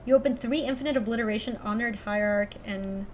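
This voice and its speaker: A young woman from Ecuador chatting casually through a card game stream, thinking out loud and laughing often. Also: on the high side, a little fast, a little hiss or hum. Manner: chatty, giggly, thinks aloud to chat. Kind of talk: casual live-stream commentary.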